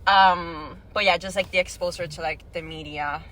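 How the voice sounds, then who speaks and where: Bright-voiced young Venezuelan woman, lowish pitch. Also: steady tone, normal speed, low-quality recording. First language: Spanish. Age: late teens. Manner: chatty, peppers talk with "like". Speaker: podcast guest. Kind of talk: casual conversational interview answers.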